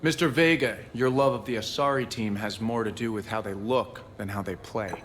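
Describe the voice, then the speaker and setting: A man's voice: fairly low pitch, moderate pace, fairly bright tone, lightly acted. An actor playing a friendly, teasing crewman and keen sports fan in a space video game, in casual banter. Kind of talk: casual game dialogue acting. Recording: reverberant room, faint ambient noise.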